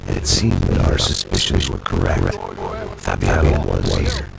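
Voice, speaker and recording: An actor playing a weary, hard-drinking ex-cop who narrates in a sardonic, hard-boiled voice-over, with a gravelly, deep voice. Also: male voice, big pitch swings, unhurried, heavy background noise, exaggerated voice. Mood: grim. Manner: bitter, sardonic. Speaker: narrator of a game. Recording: game audio with loud music.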